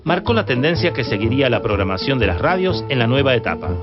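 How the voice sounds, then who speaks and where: A man's voice: medium pitch, very animated, fast. A man with an Argentine accent reading scripted documentary narration. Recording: dull band-limited sound under loud music. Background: loud instrumental music.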